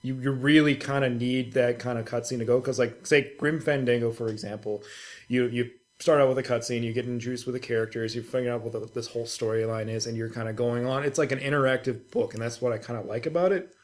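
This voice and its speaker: A man co-hosting a gaming podcast in casual, sweary chat, telling stories in an animated, informal way. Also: medium pitch, quick pace. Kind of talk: casual chat about video games.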